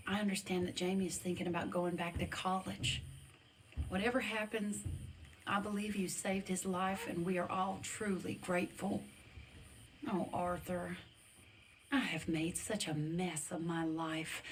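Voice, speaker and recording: A woman's voice: on the low side, a little hiss or hum, close to an everyday voice. An actress playing a tender, regretful woman of the old West, voicing a letter in a game with earnest, formal feeling. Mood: anguished, regretful. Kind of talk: letter voice-over in a video game.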